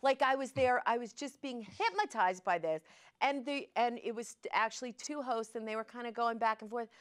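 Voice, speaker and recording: A woman with a somewhat high voice hosts a casual interview. She tells stories with animated enthusiasm and asks her guest questions directly. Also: moderate pace. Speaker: interview host.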